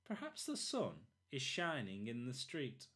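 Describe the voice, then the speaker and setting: A man's voice: medium pitch, huge pitch swings, measured pace, fairly bright tone. A man voicing the thoughts of a lonely, self-pitying female cat in a storybook, plaintive and musing.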